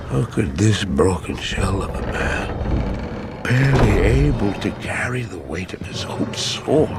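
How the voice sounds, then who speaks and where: An actor playing a haunted, weary old man in a cinematic game, gravelly and brooding, narrating with halting theatrical emphasis. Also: lowish voice, heavily acted. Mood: fearful, bewildered. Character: haunted, weary old man recounting his travels with a dark wanderer. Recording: voice over loud cinematic music.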